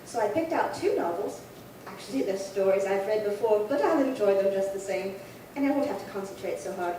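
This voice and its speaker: A woman's voice: mid-range voice, lively intonation, fairly quick, dark tone, natural voice. A woman playing a chatty, dithering elderly single lady in an old-time radio drama, rambling politely and fussily. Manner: rambling, fussy, politely fluttery. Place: live theatre performance space. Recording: very echoey hall, distant, faint noise.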